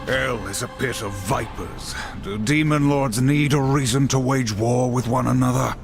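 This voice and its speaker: A grim, dutiful warrior horseman in a game, played by a man. He speaks in a serious, formal, terse way at a middling pitch, with music behind. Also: normal speed, gravelly, natural voice. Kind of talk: video game cutscene acting.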